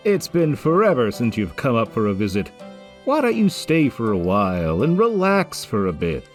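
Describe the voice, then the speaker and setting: A man's voice: medium pitch, huge pitch swings, moderate pace, faint music behind. A man playing a hearty, breezy, teasing king of the gods in an audio drama. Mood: jovial, teasing.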